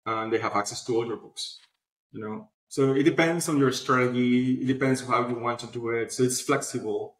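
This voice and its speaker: A man speaking as an answers interview questions in an informal, explanatory way. His voice sits at an average pitch. The sound is noticeably roomy and echoey. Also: steady tone, a little fast, fairly bright tone. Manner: informal, explanatory, often adds "you know". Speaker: guest, publishing platform founder.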